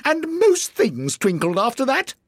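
A man's voice: very high voice, huge pitch swings, measured pace, breathy edge, no echo, clean studio sound, pitched above the performer's own voice, full character voice. A man voicing a nervous, muddled hatter in a storybook, pleading in a hesitant, trembling way. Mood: pleading, anxious.

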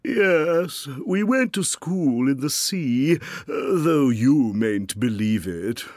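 Man putting on a weepy storybook Mock Turtle, slow mournful drawl, raised lively pitch, dry sound. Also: no echo. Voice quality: deep hollow tone. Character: sorrowful sobbing male Mock Turtle.

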